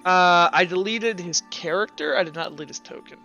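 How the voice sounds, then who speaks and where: A man acting as game master, chatting casually and narrating in an informal, halting way as he thinks aloud. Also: high voice, pushed and bright, soft background music.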